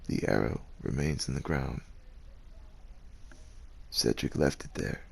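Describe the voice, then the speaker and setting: A male narrator reads a scripted ASMR tale. His voice is exceptionally deep and husky, and he takes it at a slow pace, telling the story to the listener as its main character. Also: darkish tone. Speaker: narrator, voice actor.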